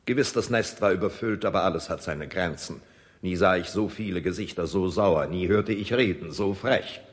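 Man acting haughty royal chamberlain, low voice, pompous, emphatic, officious, radio drama, hall reverb. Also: moderate pace, natural voice. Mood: smug, stern. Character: pompous, indignant snobbish royal chamberlain lord. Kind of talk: radio play monologue.

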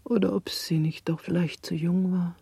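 An actress playing an anxious, gentle middle-aged mother in a radio drama, measured and questioning. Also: on the low side, fairly even intonation, creaky, slightly rough, no room sound. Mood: troubled, doubting. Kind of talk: German radio drama acting.

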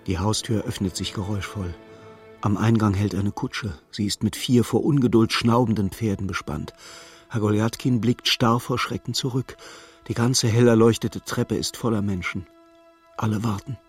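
An actor narrating a dark literary radio play as a solemn, measured storyteller. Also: male voice, on the low side, fairly even intonation, soft background music, natural voice. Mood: grave, urgent.

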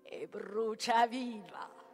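A woman playing a vengeful, malevolent sorceress mother in a theatrical tragic monologue, with a declamatory delivery that moves between fierce cries and breathy whispers. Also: fairly high pitch, very slow, darkish tone. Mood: frenzied, vindictive. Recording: music behind the voice.

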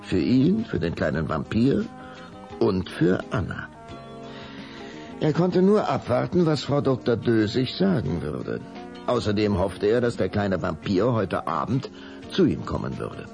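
A man's voice: on the low side, very animated, unhurried, gravelly, darkish tone, faint music behind. A man narrating a children's audio drama in a dramatic, suspenseful storytelling manner.

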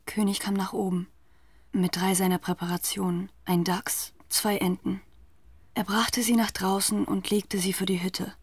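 An actress playing a grave, haunted narrator in a literary radio drama, telling the story in a measured, deliberate way. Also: female voice, medium pitch, flat delivery, slightly rough, no echo. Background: music.

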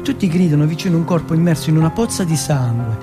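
A man's voice: on the high side, expressive, fast, music in the background. A man from Turin performing a first-person dramatic monologue in short, blunt, colloquial sentences with a streetwise manner. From Turin, Italy.

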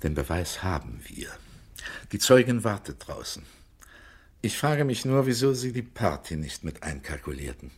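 An actor playing a shrewd police investigator in a German radio crime drama, speaking in a measured, precise, clipped and insistent way. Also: male voice, deep voice, very animated, slightly rough, full character voice. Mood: probing, cornering. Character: shrewd male police investigator, coolly cornering a suspect.

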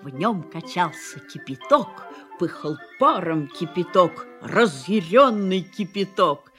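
An actor reciting comic children's verse in a playful, rhythmic, deliberate way. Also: male voice, high-pitched, animated, bright, forceful tone, faint music behind, heavily acted.

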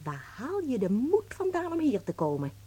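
A husky-voiced doting wife in a radio play. Her pitch swoops widely as she coos, frets and exclaims. She talks with great tenderness, as if to someone small and precious. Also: huge pitch swings, normal speed, mellow, full character voice. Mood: cold, indignant. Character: doting wife fussing over her shrunken husband.

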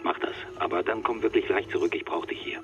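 A man's voice: low voice, steady tone, fast. A man playing a businesslike, terse police boss in a radio drama, heard through a phone filter. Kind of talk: naturalistic German radio drama acting. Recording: muffled phone call with music behind.

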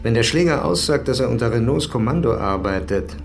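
An actor playing a composed, determined police commissaire in a German-language radio drama. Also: male voice, medium pitch, lively intonation, fairly quick, gravelly, faint music behind.

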